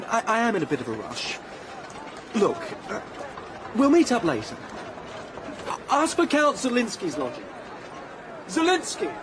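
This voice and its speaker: Against busy crowd noise, a young staff officer talks in a high, lively voice. He is affable on the surface and condescending beneath, a polished period radio drama social climber. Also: measured pace, pauses often, exaggerated voice. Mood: evasive.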